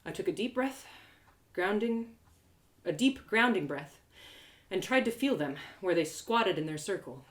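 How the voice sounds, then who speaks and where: A woman reading a first-person story aloud with expressive, playful, dramatic delivery. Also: mid-range voice, a little slow, long pauses. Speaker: author reading a story live.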